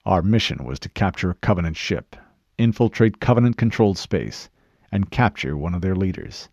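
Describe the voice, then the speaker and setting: A man voicing a stoic, resolute male super-soldier chief in an audiobook, terse and measured. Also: sits low, expressive, a little mellow, dry recording, dull audio. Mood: steady, determined. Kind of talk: audiobook character voice.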